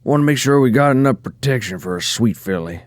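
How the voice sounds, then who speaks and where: A man's voice: mid-range voice, animated, brisk, dry recording, lightly acted. A man playing a protective, fond pony companion in an audio drama, talking in a folksy drawl full of pet names.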